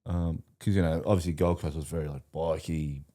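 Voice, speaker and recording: A man's voice: extremely low pitch, fairly even intonation, fairly quick, mellow. An Australian man hosting a casual interview in an informal, blokey way. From Brisbane, Australia. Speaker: podcast host.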